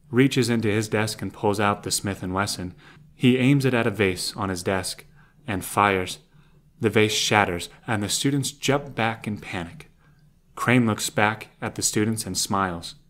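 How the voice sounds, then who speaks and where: A man's voice: fairly low pitch, a little slow, fairly bright tone. A man narrating an audio drama from a script, describing scenes as the narrator.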